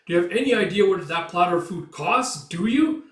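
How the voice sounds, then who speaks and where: Male performer as domineering animated slave owner, scolding and scornful, distant roomy recording. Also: high-pitched, a little fast, pitched above the performer's own voice. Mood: berating. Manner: berating, contemptuous. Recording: echoey room, distant from mic.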